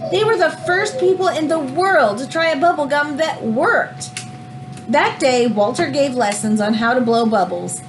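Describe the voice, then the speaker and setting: A woman reads a children's book aloud in an expressive, teacherly way, adding explanatory asides as she goes. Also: high voice, moderate pace, a little hiss or hum.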